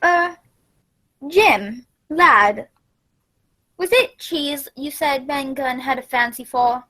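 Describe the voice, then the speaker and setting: Woman playing a slow, high-voiced kindly doctor. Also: pushed and bright, exaggerated voice. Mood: kindly confiding. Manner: avuncular, measured. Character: kindly, genteel male doctor, fatherly. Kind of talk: radio play acting.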